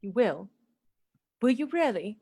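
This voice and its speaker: A woman acting in a radio play as a fragile, affectionate young gentlewoman, speaking gently and expressively. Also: on the high side, very animated, unhurried, breathy edge, exaggerated voice. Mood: frail, fearful.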